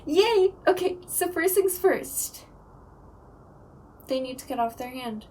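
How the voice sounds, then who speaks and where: Ghost prankster, female actor, audio roleplay: bright-spirited, perky, high pitch, light noise behind. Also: lively intonation, takes it slowly. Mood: excited. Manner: perky, playful, breezy. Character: cheerful, playful pun-loving young ghost, Chris.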